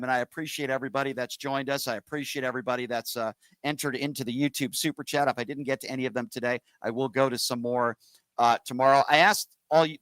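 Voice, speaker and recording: A man's voice: medium pitch, a bit bright, dry recording, poor audio. Combative male call-in radio host. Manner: combative, sarcastic, talks over callers. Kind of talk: political call-in radio talk.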